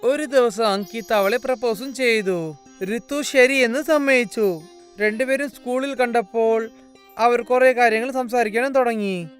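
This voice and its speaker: A man narrating a cartoon fairy tale in a plain, even storytelling manner. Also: very high voice, measured pace, clean tone, faint music behind. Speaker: narrator and voice of several characters.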